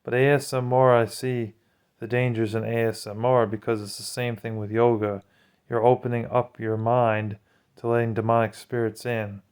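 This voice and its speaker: A man's voice: medium pitch, little pitch movement, normal speed, a little mellow, clean tone. A man speaking casually and earnestly to viewers as a vlogger, giving admonishing religious testimony.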